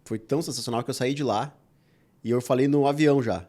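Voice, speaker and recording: A man's voice: medium pitch, steady tone, darkish tone. A man hosting a podcast, talking enthusiastically and turning to the listeners. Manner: quick, enthusiastic, addresses listeners. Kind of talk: casual podcast chat.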